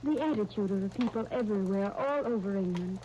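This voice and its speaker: A woman's voice: mid-range voice, fast, dark tone, lightly acted. An actress playing an earnest, encouraging young woman in a 1930s radio serial. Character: earnest, encouraging young niece, Sunday, in wartime England. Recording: muffled, noisy 1939 radio transcription disc.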